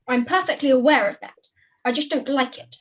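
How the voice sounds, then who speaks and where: A woman's voice: sits high, fairly quick. A young woman voicing a fussy, pedantic badger puppet with a prim, precise manner, over a video call. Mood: prim, irritated. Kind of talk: amateur puppet play dialogue. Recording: muffled video call audio.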